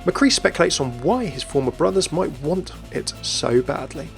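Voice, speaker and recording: A man's voice: on the high side, expressive, moderate pace, gravelly, soft background music. A man hosting a gaming video, narrating game lore in a chatty, upbeat way. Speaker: video host.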